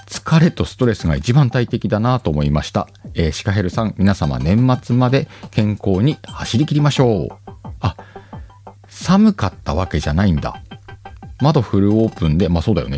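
A man, hosting a relaxed chat show, reads listener letters and riffs on each one. His voice sits fairly low but moves up and down a lot. Soft music plays quietly behind him. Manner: chatty, laughs easily, comments aloud on letters as he reads them. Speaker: podcast host reading listener letters. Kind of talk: casual chat. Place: home recording.

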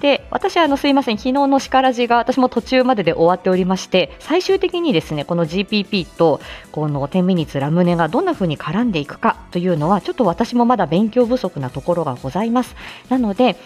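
A woman hosting a casual morning livestream, talking in a polite, chatty way and often pausing to find her words. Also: medium pitch, big pitch swings, darkish tone. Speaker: host of a weekly morning livestream; speech-language therapist. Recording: faint music behind the voice. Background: faint background music.

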